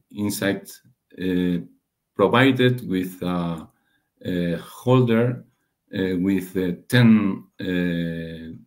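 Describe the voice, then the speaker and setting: A man giving a technical slide presentation as a webinar speaker, halting and hesitant, often pausing and rephrasing. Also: lowish voice. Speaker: webinar presenter, radiation dosimetry researcher.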